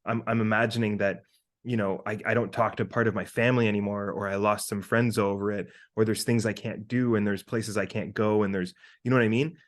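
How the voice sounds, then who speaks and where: A man in his thirties talking casually as a podcast co-host, animated and sweary as he thinks out loud. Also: on the low side. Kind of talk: casual conversational monologue with hypothetical examples.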